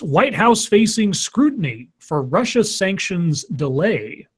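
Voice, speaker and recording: A man's voice: fairly high pitch, husky. A man with a slightly scratchy voice, casually reading news stories on a stream with rambling, sarcastic asides.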